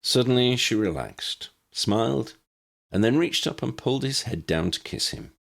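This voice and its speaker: A man's voice: medium pitch, lively intonation, moderate pace, gravelly. A man reading a scripted story aloud as an audiobook narrator. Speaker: audiobook narrator reading own fan fiction.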